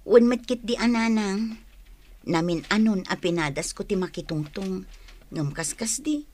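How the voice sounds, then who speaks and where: A woman's voice: medium pitch, measured pace, a little mellow, close to an everyday voice. A woman playing an aggrieved wife and mother in a radio drama. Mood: hurt, resentful.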